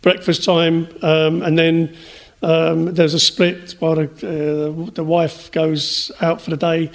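Higher-voiced, hesitant man. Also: little pitch movement. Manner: hesitant, measured phrasing. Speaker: interview guest, writer and storyteller. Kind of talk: reflective radio interview.

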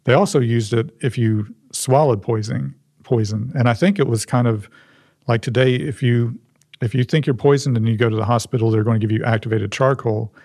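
A man, showing and explaining a museum object in a halting, restarting way. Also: medium pitch, steady tone, a little mellow, dry recording, studio quality.

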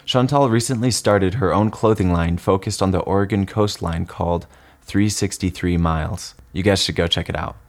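Low-voiced upbeat podcast host man. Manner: upbeat, enthusiastic. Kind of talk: casual podcast interview. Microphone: single shared microphone.

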